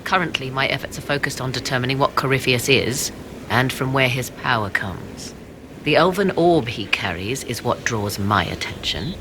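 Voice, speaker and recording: An actress playing a mysterious, proud sorceress in a video game, speaking in formal, archaic and measured phrases with a low, creaky voice. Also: female voice, background noise. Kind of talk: video game dialogue acting.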